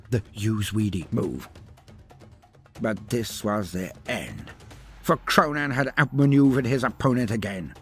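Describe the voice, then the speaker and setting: A man's voice: mid-range voice, breathy edge. A man narrating a scripted story in a dramatic, grandiose, theatrical manner.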